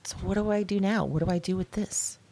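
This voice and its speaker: A woman playing a troubled, angry woman in hiding who records a private log, speaking in halting, brooding phrases in an intimate audio drama monologue. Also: medium pitch, dark tone, slight background noise, natural voice. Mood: agitated, bitter.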